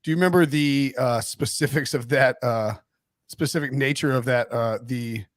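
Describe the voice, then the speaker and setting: A man hosting a podcast, chatting casually and jokingly about sports, his voice slightly raspy. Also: mid-range voice, no echo, clean studio sound. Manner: fast, joking, reads quotes aloud. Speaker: podcast host.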